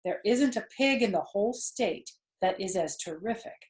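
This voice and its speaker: A woman voicing a proud, excitable farm owner who gives emphatic orders in a storybook. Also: mid-range voice, normal speed, reverberant, lightly acted. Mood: joyful admiration.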